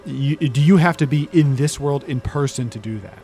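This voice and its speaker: A man's voice: medium pitch, moderate pace, dark tone, faint music behind. A man playing a tabletop game, improvising conversationally and searching for words. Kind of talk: conversational in-character improvised roleplay.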